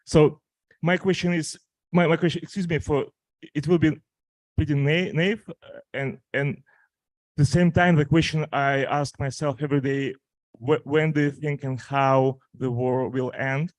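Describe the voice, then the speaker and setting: A man's voice: a little high, steady tone, a little slow, no echo, rough recording. A man asking a question from the audience, nervous and stumbling over his words.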